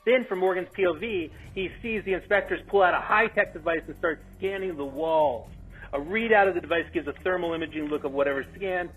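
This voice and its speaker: A man's voice: sits high, a little fast, a bit bright, breathy edge. A man reading out stage directions as narrator at a remote script table read. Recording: dull call audio, music behind.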